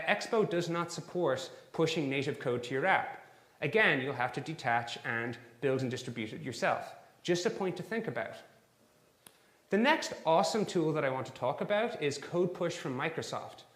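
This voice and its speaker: An Irish man in his thirties giving a technical conference talk, upbeat and explanatory, with filler words between phrases. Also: medium pitch. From Ireland. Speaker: conference speaker. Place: conference hall. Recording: echoey conference hall.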